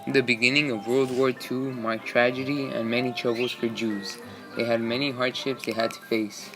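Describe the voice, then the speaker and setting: A man narrates from a documentary script. His voice is middling in pitch and stays very even, with little melody. Faint music plays behind him. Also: moderate pace, poor audio.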